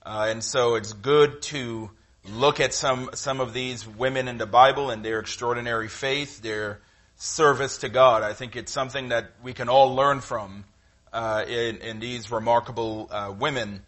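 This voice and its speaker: A middle-aged man with a Trinidadian accent, preaching a sermon in a conversational, halting way with frequent fillers. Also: medium pitch, steady tone, fairly bright tone. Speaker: guest preacher.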